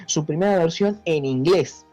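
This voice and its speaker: A man's voice: fairly high pitch, moderate pace, dark tone. An Argentine man speaking as an interview guest, explaining things informally like a teacher and checking understanding with short tag questions. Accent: Argentine Spanish. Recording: dull lo-fi audio with music behind. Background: music.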